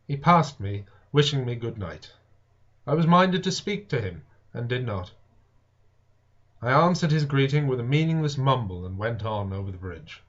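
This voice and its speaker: Guy reading an old novel out loud all dramatic and deliberate, average pitch, kinda muffled lofi audio. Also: lively intonation. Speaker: audiobook reader. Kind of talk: dramatic literary narration of a Victorian science fiction novel. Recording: dull lo-fi home recording.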